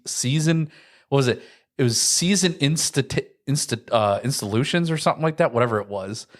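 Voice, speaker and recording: A young adult man co-hosting a casual podcast chat, rambling and saying "like" constantly. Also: mid-range voice. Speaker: podcast co-host.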